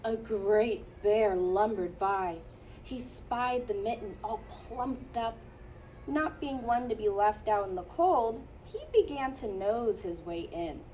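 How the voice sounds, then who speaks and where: A childcare teacher, a woman, reading a picture book aloud to children in a gentle storytelling manner with playful asides. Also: medium pitch, slow pace, distant mic, a little hiss or hum, poor audio.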